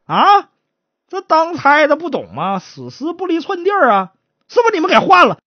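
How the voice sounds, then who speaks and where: A woman's voice: high-pitched, wildly swooping pitch, normal speed, no echo, highs cut off, voice pushed up. A woman storyteller voicing a bullying, hot-tempered male county magistrate with a folksy, threatening way of interrogating. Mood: barking, impatient.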